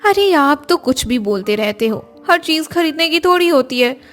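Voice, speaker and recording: A woman voicing a crafty, sweet-talking married neighbour in an animated family story, gushing and familiar. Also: high voice, normal speed, faint music behind. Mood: sweet, wifely.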